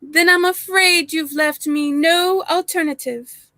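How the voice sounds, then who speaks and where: A woman's voice: very high voice, fairly even intonation, a little slow, very noisy. A young woman playing a suave, menacing professor and secret spy in a 1930s radio play, genteel and theatrical. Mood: silkily threatening.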